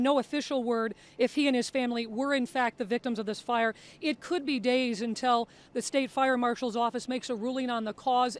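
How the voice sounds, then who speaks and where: A woman with an American accent giving a live local television news report from the field. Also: fairly high pitch. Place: outdoors at a rural scene.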